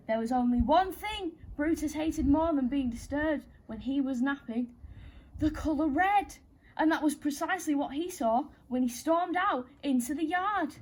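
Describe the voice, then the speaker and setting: Female children's storytime host, high-pitched, theatrical and playful storyteller. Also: animated, normal speed, a little mellow, rough recording. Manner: playful, theatrical, addresses young listeners directly. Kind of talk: animated children's story reading.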